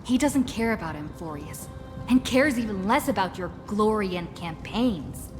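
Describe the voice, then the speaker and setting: A woman's voice: medium pitch, animated, lightly acted. A woman playing an earnest, resolute young heroine in a video game, explaining solemnly and with care. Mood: solemn, urgent. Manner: measured, solemn, careful explaining. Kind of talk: dramatic video game voice acting. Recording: long reverberant hall. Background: clear music.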